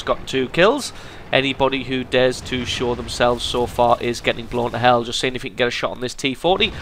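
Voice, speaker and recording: A man with a cold hosting a gaming channel, commenting on a tank game replay in an amused, lively way. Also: medium pitch, a little hiss or hum. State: has a cold.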